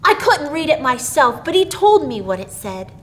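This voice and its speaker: A woman voicing an excitable, earnest young boy in a storybook reading, with an eager, bubbly manner. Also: sits high, moderate pace, voice pushed up. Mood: proud, eager.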